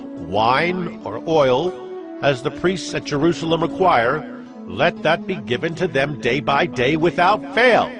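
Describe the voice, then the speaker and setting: A man playing a commanding Persian king, proclaiming a decree in a stately, imperious way in a dramatized Bible reading. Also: on the high side. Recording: music bed under the voice.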